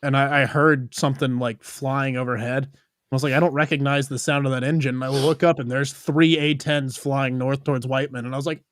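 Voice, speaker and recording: A man's voice: medium pitch, level tone, a little fast, no echo, studio quality. A man chatting on a livestreamed podcast, casual and joking, in an anecdotal conversational style. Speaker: podcast panelist.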